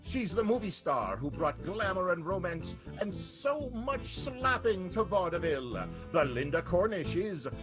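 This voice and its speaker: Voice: mid-range voice, very animated, unhurried. An announcer narrating an improvised radio serial in a grandiose, dramatic, theatrical way. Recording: music behind the voice.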